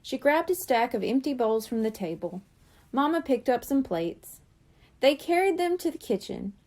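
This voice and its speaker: Expressive woman reading a children's book. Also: high-pitched, moderate pace. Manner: expressive, animated. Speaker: librarian reading aloud. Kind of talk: children's storybook read-aloud.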